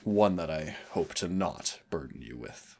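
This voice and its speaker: A man playing a centuries-old demon hunter, teasing and rebellious yet wistful and protective, in an intimate audio roleplay with a gravelly voice. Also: expressive, unhurried, darkish tone, full character voice. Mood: grieving, bitter. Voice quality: very low, gravelly creaky voice. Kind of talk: intimate second-person audio roleplay acting.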